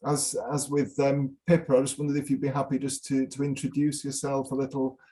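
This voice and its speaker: Mellow, halting male event host. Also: medium pitch, completely flat delivery. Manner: hesitant, halting, polite. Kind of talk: informal spoken introduction.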